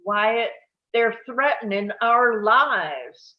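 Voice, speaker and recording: Woman playing an indignant frontier marshal, slow and swooping. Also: a little high, lively intonation, highs cut off. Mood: alarmed, insistent. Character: indignant, stubborn male Old West marshal. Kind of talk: live screenplay table read.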